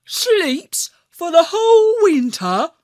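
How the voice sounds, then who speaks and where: A woman's voice: extremely high pitch, takes it slowly, no room sound, studio quality, pitched above the performer's own voice, full character voice. A woman voicing a boastful, scornful young male hare in a children's story. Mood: mocking. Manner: scornful and excitable.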